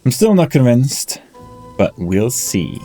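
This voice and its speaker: A man playing a grim, skeptical knight in a dark Arthurian video game, questioning and doubtful as he talks. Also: mid-range voice, very animated, slow pace, soft background music. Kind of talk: scripted video game dialogue.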